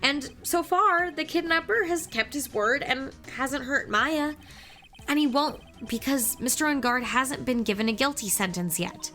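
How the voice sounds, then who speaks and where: A woman's voice: sits high, lively intonation, a little slow, pushed and bright, faint music behind, voice pushed up, heavily acted. A woman voicing an earnest young male defense attorney who talks in an encouraging, raised voice.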